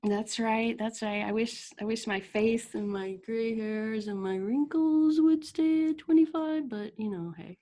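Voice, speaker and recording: A woman's voice: fairly high pitch, unhurried, hardly any pauses, warm tone. A middle-aged woman, an author, chatting in an interview; chatty, self-deprecating, laughing often. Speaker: author and podcast guest. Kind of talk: casual interview chat.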